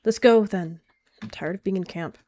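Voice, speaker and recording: A woman's voice: medium pitch, normal speed, dark tone, natural voice. A woman voicing a cold, scheming young she-cat warrior in a voice roleplay, talking in a curt, dismissive way. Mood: cool.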